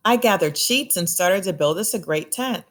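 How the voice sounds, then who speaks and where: Female storybook reader, low register, expressive read-aloud for young children. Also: normal speed.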